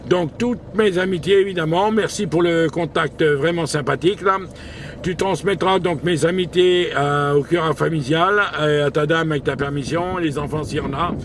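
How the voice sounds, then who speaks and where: A man's voice: a little high, moderate pace. A man making casual amateur radio contact in an informal, hesitant, slangy way, speaking from an outdoor mobile spot. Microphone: handheld camera picking up the voice. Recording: faint outdoor and vehicle noise.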